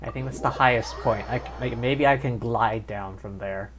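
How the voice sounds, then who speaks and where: A man's voice: medium pitch, normal speed, a bit bright, slight background noise. A man streaming a video game with casual live commentary, talking to viewers as he plays.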